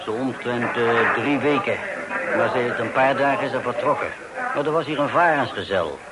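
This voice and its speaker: An actor in a radio play as a blunt, insistent innkeeper with a matter-of-fact manner. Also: male voice, mid-range voice, normal speed, natural voice, poor audio. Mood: businesslike. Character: blunt, insistent male tavern keeper. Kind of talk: radio drama acting. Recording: radio play with loud tavern chatter.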